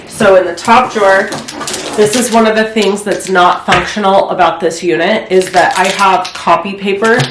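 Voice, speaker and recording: A woman with a stuffy head cold chats casually while she clears out her home craft room. She rambles a bit and uses lots of filler words. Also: mid-range voice. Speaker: crafting vlogger. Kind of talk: casual chat while decluttering. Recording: echoey room, faint noise.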